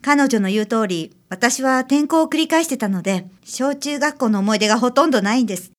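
A woman's voice: fairly high pitch, animated, a little fast, natural voice. A woman in a radio drama playing a polite, wistful young bride-to-be. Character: polite, wistful young bride-to-be, once a lonely transfer student. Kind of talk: scripted radio drama acting.

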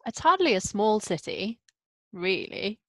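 A woman hosting a podcast, chatting casually with quick asides. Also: medium pitch, big pitch swings, a bit bright, no echo. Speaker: podcast host. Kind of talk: casual chat.

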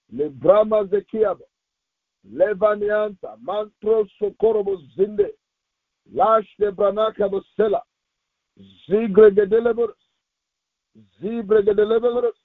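Male pastor, very high voice, fervent insistent prayer in short repeated phrases, thin telephone-grade audio. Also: no echo. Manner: insistent, repetitive, phrase-by-phrase delivery. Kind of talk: fervent Pentecostal prayer sermon with exhortation. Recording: thin, phone-like radio sound.

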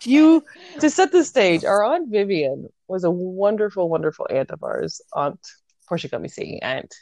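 A young adult woman telling family stories in casual chat with her sister, animated and full of fillers. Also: on the low side, normal speed, no echo. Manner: animated storytelling, many fillers, laughs often.

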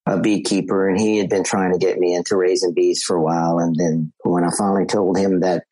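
Husky-voiced man, easygoing. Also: sits low, level tone, a little fast, mellow, no echo, low-quality recording. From South Louisiana. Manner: easygoing, repeats words while thinking. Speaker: podcast guest, beekeeper. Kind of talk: casual interview chat.

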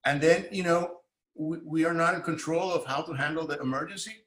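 Guest, male, grew up in Puerto Rico, animated talker with a slightly high voice, roomy echo. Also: fairly quick. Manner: animated, thoughtful, many restarts. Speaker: guest, arts and Spanish teacher. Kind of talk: casual podcast conversation.

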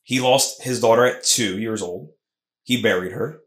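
A man's voice: medium pitch, moderate pace. Guy hosting a fight podcast, keeps restarting lines, roomy sounding mic. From Puerto Rican heritage. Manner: animated, emphatic, lots of restarts. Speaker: podcast host. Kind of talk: casual combat sports podcast chat. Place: Philadelphia podcast studio room. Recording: roomy echo.

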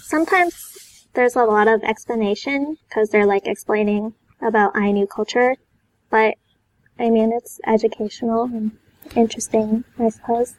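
Woman chatting tentatively, fairly high voice. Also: fairly even intonation, moderate pace, a little mellow, rough recording. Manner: hesitant, tentative. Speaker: podcast guest. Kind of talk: casual chat about anime and manga.

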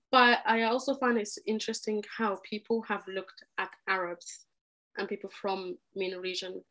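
A woman's voice: medium pitch, a bit bright, rough recording. A young adult woman from Egypt, a podcast guest, talking earnestly and with animation in a conversational interview, often restarting her sentences. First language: Arabic.

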